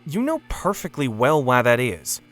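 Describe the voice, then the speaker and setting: An actor playing an earnest, loyal working stallion and parent in an audio drama, reasoning patiently. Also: male voice, mid-range voice, wildly swooping pitch, moderate pace, fairly bright tone, soft background music. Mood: patient, defensive.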